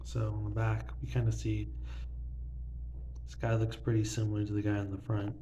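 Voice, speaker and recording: Young male collector, relaxed rambling delivery, dark soft timbre, casual phone-video feel. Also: on the low side, normal speed, lo-fi sound, slight background noise. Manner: casual, rambling, many fillers like "kinda" and "like". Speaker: banknote collector. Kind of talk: casual show-and-tell narration. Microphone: phone filming banknotes.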